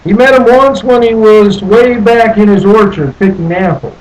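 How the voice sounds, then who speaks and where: A man reading a picture book aloud as a storyteller, deliberately, with questions and asides to his young listeners. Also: high voice, rough recording. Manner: deliberate, asks the listeners questions and repeats phrases.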